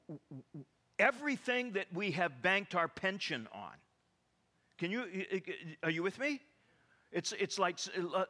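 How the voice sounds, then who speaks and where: A man preaching a sermon, animated and full of stammering restarts and rhetorical questions to the congregation. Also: fairly high pitch. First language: English.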